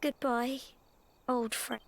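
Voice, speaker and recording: A teenage British village girl in a fantasy game, a sentimental childhood friend. Her voice is high and youthful, and she speaks earnestly and artlessly, with a wistful touch. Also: steady tone, slow. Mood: sad, accepting. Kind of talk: video game voice acting.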